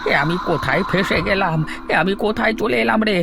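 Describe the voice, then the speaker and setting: Man playing a high-pitched, timid, bewildered fellow. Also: quick pace, gravelly, background noise. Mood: terrified. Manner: stammering, wailing exclamations. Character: bewildered, timid man lost at a haunted market. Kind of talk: horror cartoon dubbing. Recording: music behind the voice.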